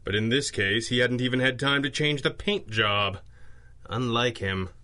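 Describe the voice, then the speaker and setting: A man's voice: mid-range voice, moderate pace, bright, forceful tone, natural voice. A man acting a wry, analytical masked crime fighter with precise, formal speech in a 1930s-style radio drama. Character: urbane, analytical masked crime-fighting hero, wryly confident.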